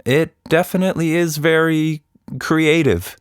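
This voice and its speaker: A man's voice: fairly high pitch, fairly even intonation, measured pace, clean tone, no room sound, clean studio sound, pitched above the performer's own voice, natural voice. A man voicing a young girl, eager but argumentative and a bit reluctant, in a children's story. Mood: hesitant.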